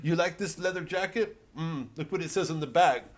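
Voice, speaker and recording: A man's voice: a little high, a little fast, pitched above the performer's own voice, natural voice. A man voicing a crass, foul-mouthed billionaire poser, boastful and taunting, in a comedy monologue. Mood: cocky, taunting. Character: crass bragging billionaire tough-guy poser, male, sneering.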